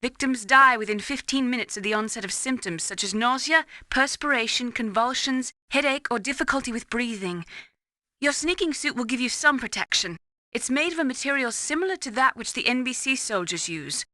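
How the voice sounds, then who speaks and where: A woman playing a composed, secretive scientist in a video game, explaining things in a cool, precise, measured way. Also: a little high, expressive, pushed and bright, no echo, studio quality. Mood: clinical, informative.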